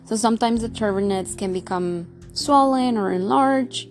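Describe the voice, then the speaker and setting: A woman's voice: on the high side, moderate pace, a little hiss or hum. A young woman vlogging in a casual, rambling way, full of fillers and restarts, her voice sounding congested and nasal. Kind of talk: casual talk to camera.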